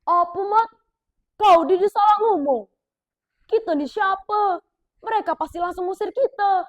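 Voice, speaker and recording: A woman's voice: very high-pitched, pitched above the performer's own voice. A girl doing brash South Sumatran village youths. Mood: boastful. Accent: South Sumatran Malay. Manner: brash, boisterous, fast banter. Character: two bold young village men, cocky suitors.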